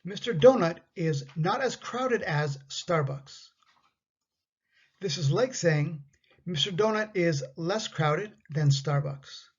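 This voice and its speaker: A Canadian man with a husky, breathy voice reads out a grammar lesson for learners of English, spacing each example sentence out. The recording has a slightly dull, muffled sound. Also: on the high side, animated. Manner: slow, carefully enunciated example sentences. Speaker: English teacher.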